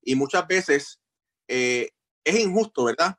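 A man's voice: on the high side, animated, takes it slowly, a bit bright. A man hosting a sports podcast, asking interview questions in a friendly, chatty way with casual fillers.

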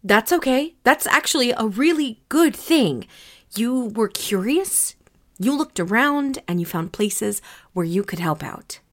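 A woman playing a gentle, encouraging artificial intelligence crew member in an audio drama, speaking softly and hesitantly. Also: on the high side, expressive, a little slow. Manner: hesitant, soft, reassuring. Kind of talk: scripted audio drama acting.

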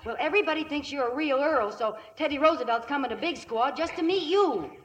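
An actress playing a plain-spoken young ranch daughter, in 1950s radio comedy style. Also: female voice, high voice, lively intonation, brisk. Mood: coaxing. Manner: folksy, drawling, teasing.

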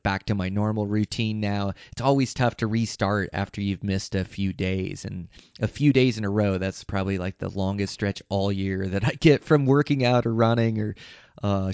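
Male American podcast host, low voice, slightly hoarse and worn out, loose rambling monologue, somewhat muffled recording. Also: darkish tone. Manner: rambling, informal, hesitant phrasing. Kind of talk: casual podcast outro monologue. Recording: echoey room, slightly dull sound.

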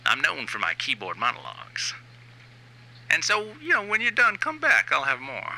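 A man's voice: fairly high pitch, wildly swooping pitch, creaky, sharp tone, heavily acted, low-quality recording. A man playing a self-important, sleazy new wave band frontman in a rambling, conversational comic monologue. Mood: boastful. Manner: rambling, smug, halting asides. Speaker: writer and reader of a comic fiction series.

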